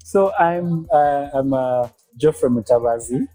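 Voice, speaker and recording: A young man from Uganda, a guest entrepreneur, talking in a podcast interview with hesitant pauses and restarts. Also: mid-range voice, expressive, no echo, faint music behind. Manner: hesitant, many fillers and restarts, soft-spoken.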